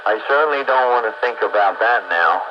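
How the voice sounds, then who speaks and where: A man's voice: lowish voice, expressive, a little fast. A man of sixty-four chatting casually in a folksy, easygoing manner, as an amateur radio operator. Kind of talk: casual chat. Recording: muffled noisy radio audio.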